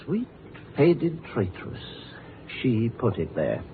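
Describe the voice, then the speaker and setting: An actor playing a gloomy, death-welcoming accordion player and murder suspect, with flowery, pompous phrasing in 1950s radio drama style. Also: male voice, mid-range voice, wildly swooping pitch, takes it slowly, full character voice. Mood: morbid, dreamy. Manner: flowery, pompous, poetic diction. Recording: tinny, faintly noisy 1950 radio broadcast.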